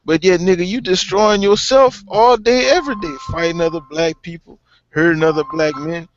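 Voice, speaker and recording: A man's voice: high voice, steady tone, moderate pace, faint music behind. A man arguing in a heated, profane casual debate, rambling and filling his talk with "you know what I'm saying.". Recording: muffled lo-fi audio.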